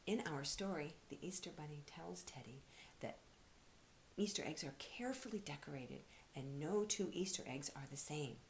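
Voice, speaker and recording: A woman giving an expressive read-aloud for children as a storyteller and teacher. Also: low-pitched, normal speed, slight background noise.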